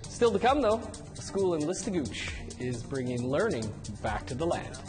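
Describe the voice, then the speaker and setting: Lively male news anchor in a studio. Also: fairly high pitch, moderate pace, over music. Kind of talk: scripted news presenting. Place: television studio.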